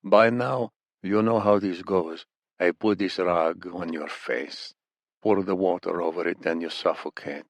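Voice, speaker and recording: Man playing a deep, softly menacing Iranian interrogator. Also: no echo. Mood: mock-friendly menace. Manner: soft-spoken, slow, mock-polite menace. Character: menacing male Iranian interrogator, muscular, mock-friendly villain.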